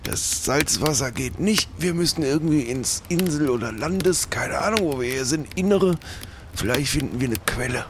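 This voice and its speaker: A man's voice: medium pitch, expressive, normal speed, noisy background, lightly acted. A man playing a small, proud, grumbling older pirate in an improvised audio adventure, opinionated and reproachful. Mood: grumbling, insistent.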